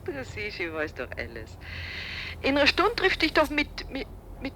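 A lively, bright female voice plays a wife on the telephone in a radio drama. She is chatty and probing, stumbling and repeating herself. There is faint noise around her. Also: sits high, normal speed, full character voice, low-quality recording. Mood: affectionate, probing. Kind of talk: radio drama acting, phone dialogue.

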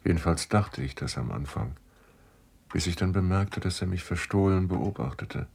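An observant, composed guest in a radio drama. His deep voice is reflective and matter-of-fact, with slight noise behind it. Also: animated, normal speed, creaky, a little mellow. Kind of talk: German radio drama dialogue and narration.

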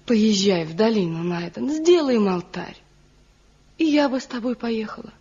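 An actress playing an anxious, pleading wife in a mountain village, acting earnestly in an old radio drama. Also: female voice, on the high side, normal speed, dark tone, slightly rough. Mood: pleading, troubled. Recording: dull, faintly noisy 1970 radio recording.

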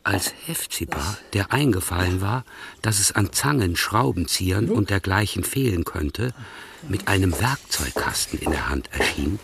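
A measured, wry storyteller narrates a literary radio play. His voice sits low and gravelly. He shapes the phrases with lively swings of pitch. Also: noisy background.

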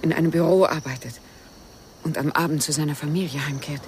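An actress playing a guarded, wistful widow who speaks evasively and intimately in a German radio crime drama. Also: female voice, sits low, fairly even intonation, fairly quick, noisy background, close to an everyday voice.

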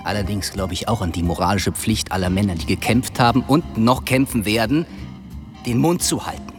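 Low, grave, resolute army sergeant, man acting. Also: normal speed, over music, close to an everyday voice. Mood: grim resolve. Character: hard, resolute American army intelligence sergeant. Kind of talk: radio drama monologue.

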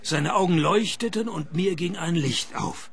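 An actor playing a wisecracking, hard-up private detective in a radio play, with dry deadpan delivery. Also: male voice, sits high, measured pace. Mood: wry narrating. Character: wisecracking, cash-strapped male private detective. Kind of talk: radio play dialogue and first-person noir narration.

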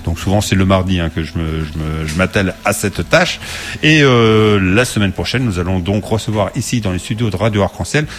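A man hosting a local radio show, talking casually and pausing with fillers as he wraps up. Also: low-pitched. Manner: relaxed, hesitant with many fillers. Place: radio studio.

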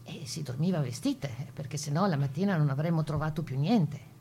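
A woman playing a camp survivor who gives her grave testimony in a radio play, plainspoken and halting, in a conversational way. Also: low voice, vocal fry, warm tone, a little hiss or hum. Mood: grave, remembering. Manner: halting, conversational, with small fillers and tag questions. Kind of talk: dramatized first-person testimony in a radio play.

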